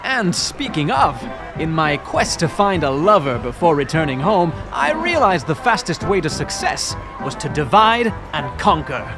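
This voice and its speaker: A man's voice: a little high, big pitch swings, moderate pace, music in the background, crowd noise. A man playing an exuberant, romantic young French aristocrat who tells his tale theatrically in an audio drama. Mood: exhilarated. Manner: theatrical, effusive. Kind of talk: audio drama acting and narration.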